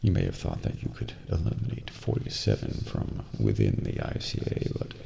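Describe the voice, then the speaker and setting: Gravelly, soft-spoken assassin acted by a man. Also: rumbling low, huge pitch swings, heavily acted. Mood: smug, cold. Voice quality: breathy, gravelly, near-whisper. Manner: deliberate, polite, menacing. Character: cold, calculating male assassin agent gloating over his victim. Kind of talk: soft-spoken ASMR role play. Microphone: close binaural microphone. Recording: close binaural mic, faint noise.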